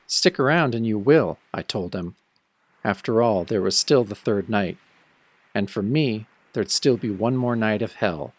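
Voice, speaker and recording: A man's voice: on the low side, lively intonation, unhurried, no echo. Man playing a brooding, wry horror-story narrator. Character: wry, world-weary young man cursed as a werewolf. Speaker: first-person narrator of an audio drama. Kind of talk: dramatic scripted narration, old radio horror show.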